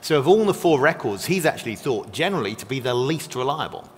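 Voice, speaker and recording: Guy explaining old history to a class, brisk and friendly, hall echo and a bit of hiss. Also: medium pitch, sing-song. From not from Texas. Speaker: guest scholar. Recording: long hall reverb with faint noise.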